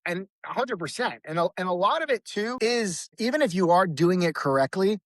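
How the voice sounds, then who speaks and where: Fairly high-voiced man, rambling guest. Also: expressive, fairly quick, no room sound, studio quality. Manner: rambling, with frequent restarts and fillers. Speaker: podcast guest, band vocalist. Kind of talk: casual conversation.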